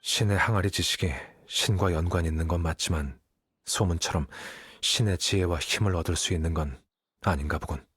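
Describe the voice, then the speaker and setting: Brief: aloof young male scholar for an anime game, low and slightly husky, composed and dry. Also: fairly quick, fairly bright tone, no echo. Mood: detached, analytical. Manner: composed, matter-of-fact, dry. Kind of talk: anime-style game voice acting. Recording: faint music behind the voice.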